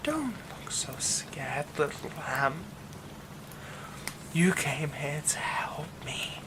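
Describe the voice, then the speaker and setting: A man voicing a menacing yandere werewolf who is an old childhood friend, in an ASMR roleplay, talking in slow, soft, threatening whispers. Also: a little high, big pitch swings, background noise, full character voice.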